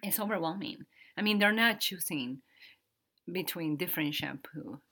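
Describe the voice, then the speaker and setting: Dominican-accented woman, low and bright. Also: moderate pace, breathy edge. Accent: Dominican Spanish. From Dominican Republic. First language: Spanish. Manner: hesitant, searching for words, laughs often. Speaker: podcast cohost. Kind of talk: casual podcast conversation.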